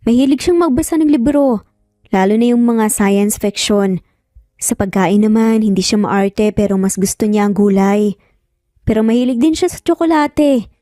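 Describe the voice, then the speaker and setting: A woman's voice: a little high, normal speed, warm tone, no room sound, studio quality, close to an everyday voice. A woman voicing a nervous, evasive young mother in a romance story, hesitant and apologetic. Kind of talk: dramatic romance audio storytelling.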